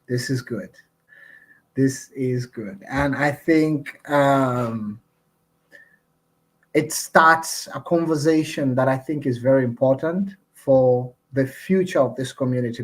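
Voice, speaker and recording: A man hosting an online discussion over a video call, speaking in an earnest, appreciative and measured way. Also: medium pitch, slightly rough. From Uganda. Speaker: host and community representative.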